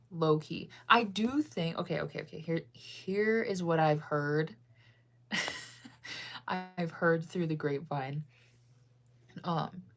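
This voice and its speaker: Young american woman streaming, rambly and chatty, kinda low voice, lots of "like" and "um". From United States. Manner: rambling, chatty, lots of fillers and self-corrections. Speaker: livestreamer reading chat. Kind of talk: casual livestream chat.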